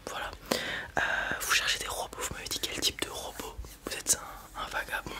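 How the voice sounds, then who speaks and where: A person doing a softly whispered, hesitant and unhurried ASMR roleplay as a salesperson. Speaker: ASMR roleplayer acting as a wandering space robot salesperson.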